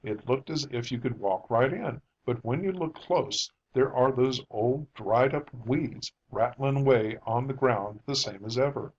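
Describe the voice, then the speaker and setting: A man's voice: mid-range voice, a little slow, few pauses, dull audio, lightly acted. A man voicing a rattled son who tries to sound casual and unconcerned, in a dramatic audiobook reading. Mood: uneasy, feigned calm. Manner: shaky, casual, trying to sound calm. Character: shaken son feigning unconcern.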